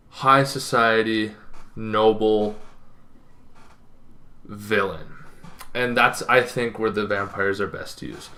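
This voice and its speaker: Young guy explaining tabletop game stuff in his bedroom, lowish bright voice, punchy with dramatic pauses. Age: young adult. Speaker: host of a tabletop gaming series. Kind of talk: informal explainer to camera. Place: bedroom, indoors.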